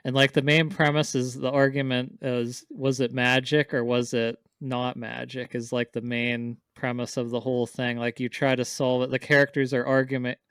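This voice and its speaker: A man's voice: medium pitch, level tone, moderate pace, hardly any pauses, fairly bright tone, clear, resonant tone, dry recording, clean studio sound. A man chatting casually as a podcast co-host, explaining things in an informal, rambling way. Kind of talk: casual chat, discussing visual novels.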